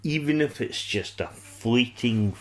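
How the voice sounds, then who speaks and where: A man's voice: medium pitch, takes it slowly. A man co-hosting a podcast in casual fan discussion, rambling and enthusiastic, with some swearing. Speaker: podcast co-host.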